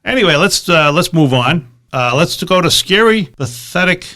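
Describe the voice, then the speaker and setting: A middle-aged man co-hosting a podcast with casual, wry, teasing chat and halting restarts. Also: a little high, expressive, sharp tone. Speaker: podcast co-host.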